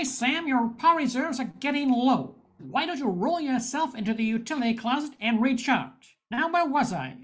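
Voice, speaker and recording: A woman playing a wry nightclub owner who narrates a space noir in an amateur radio play. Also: high voice.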